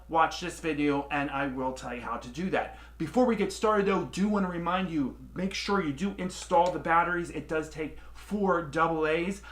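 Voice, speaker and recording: A man from Pennsylvania hosting a casual how-to video, giving relaxed, plainspoken step-by-step instructions. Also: a little high. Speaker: product reviewer and tutorial host.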